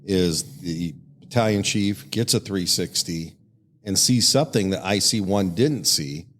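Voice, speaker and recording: A man's voice: low voice, a little slow, fairly bright tone. A man co-hosting a podcast, giving conversational commentary and analysis. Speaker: podcast co-host breaking down fire radio traffic.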